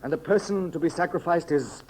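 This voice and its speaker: A man's voice: on the high side, brisk, darkish tone, a little hiss or hum, close to an everyday voice, low-quality recording. An actor playing a man solemnly proclaiming a sacrifice in a 1930s radio serial, declamatory and formal, on an old recording. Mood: solemn proclamation.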